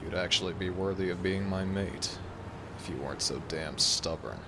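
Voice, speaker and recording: A man voicing an arrogant, vengeful male rival werewolf in a dramatic roleplay audio, gravelly, theatrical and formal in his wording. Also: low-pitched, normal speed, noisy background. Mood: grudging, threatening.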